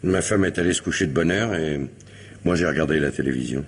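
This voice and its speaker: Low-voiced, defensive murder suspect. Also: male voice, fast talker, breathy edge. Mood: guarded, uneasy. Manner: guarded, protesting, hesitant. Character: defensive, wronged husband, a male murder suspect. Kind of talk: French radio crime drama acting.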